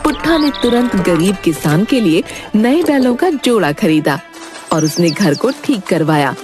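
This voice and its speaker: Lively woman narrating a story. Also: mid-range voice, normal speed, music in the background. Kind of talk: scripted cartoon story narration.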